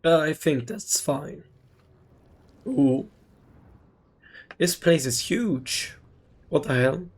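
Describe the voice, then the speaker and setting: Husky, slow-talking game streamer. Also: mid-range voice, animated. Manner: offhand, sparse, self-talk. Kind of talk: casual playthrough reactions, muttering.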